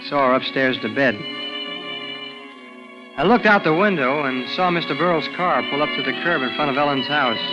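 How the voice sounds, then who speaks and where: A man's voice: mid-range voice, lightly acted, low-quality recording. A young man playing a nervous, evasive teenage boy who narrates his story in 1940s radio drama style, earnest and boyish with a hesitant delivery. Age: young adult. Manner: boyish, earnest, hesitant stammering under pressure. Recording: vintage 1940s radio recording with music.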